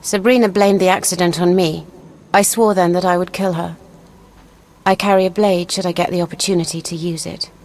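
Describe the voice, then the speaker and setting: A woman playing a proud, vengeful young noblewoman in a fantasy video game, speaking earnestly and deliberately. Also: lowish voice, fairly even intonation, a little mellow, close to an everyday voice. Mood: bitter, reminiscing. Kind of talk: video game dialogue acting.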